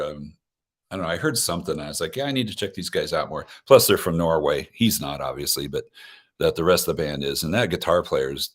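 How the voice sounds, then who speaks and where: Low-voiced rambling man. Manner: rambling, self-interrupting, joking. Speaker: guest. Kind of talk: casual chat about concerts.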